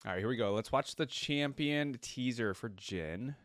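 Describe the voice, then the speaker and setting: A man's voice: mid-range voice, moderate pace, no room sound, clean studio sound. A man hosting a reaction video, giving casual, enthusiastic commentary and thinking aloud as he watches. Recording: loud orchestral music behind voice. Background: game trailer and theme music.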